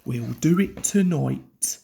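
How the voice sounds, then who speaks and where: A plucky male tank engine from a storybook, voiced by a woman. He speaks slowly and politely, with a self-assured pride. The pitch moves around a lot. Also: expressive, gravelly, warm tone, exaggerated voice. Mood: determined.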